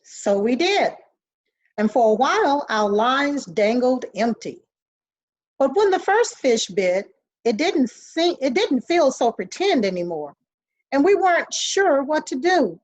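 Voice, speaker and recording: A woman teacher reads a children's picture book aloud in a fairly high voice. Her intonation moves up and down a lot as she addresses young listeners in an instructional way. The recording is slightly dull and lo-fi. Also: very animated, unhurried.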